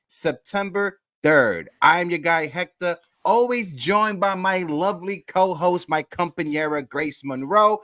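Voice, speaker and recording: A man in his thirties hosting a casual sports talk livestream, giving upbeat shout-outs to viewers in the chat. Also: high voice. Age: 30s. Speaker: podcast host.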